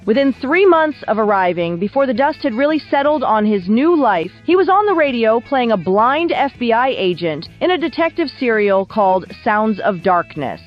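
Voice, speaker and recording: Lively high-voiced woman narrating a documentary. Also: dull audio. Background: background music.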